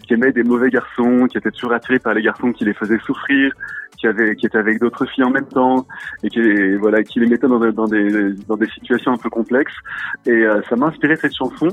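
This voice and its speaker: A man's voice: mid-range voice, no room sound. A man guesting on a radio show, talking about his music in a friendly, eager way with plenty of fillers. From France. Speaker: singer-songwriter guest. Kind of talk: radio interview. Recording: tinny phone-line sound, dry.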